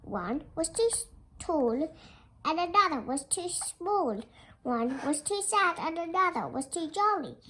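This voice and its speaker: A child reading a fairy tale aloud in a careful, expressive storytelling manner, as a storybook narrator. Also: very high voice, a little slow, slight background noise. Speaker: storybook reader.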